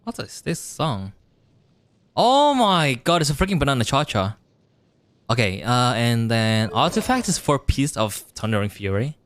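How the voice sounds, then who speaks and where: A man's voice: mid-range voice, sing-song, unhurried, fairly bright tone, no echo. Chatty young man streaming a game. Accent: non-native English. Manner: chatty, rambling, reads and answers chat. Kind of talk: casual chat while playing a game.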